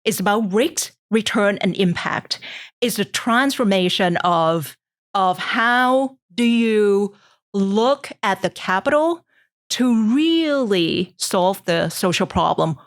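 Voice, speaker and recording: Middle-aged woman, gravelly voice, dry close sound, measured explanations. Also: medium pitch, dry recording. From Thailand. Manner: measured, explanatory, frequent ums and uhs. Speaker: guest investor. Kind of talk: podcast interview answers.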